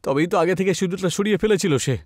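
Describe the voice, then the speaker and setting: A man voicing a frightened, agitated village husband in a horror story, excitable and complaining. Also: sits high, rapid-fire, no echo, clean studio sound, close to an everyday voice. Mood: insistent.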